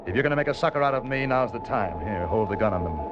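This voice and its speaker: A man's voice: mid-range voice, expressive, fast talker, very noisy, lightly acted. An actor playing a tough, wry agent who tells his own story in clipped hardboiled style in a 1950s radio thriller. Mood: commanding. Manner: clipped, sardonic, hard-boiled. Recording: muffled 1950s radio recording, music behind.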